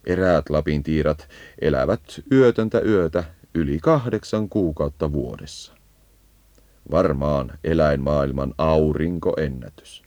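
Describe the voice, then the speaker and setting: A man's voice: a bass voice, darkish tone, dry recording. A man narrating a scripted educational birdsong guide, leading the listener as if on a field walk.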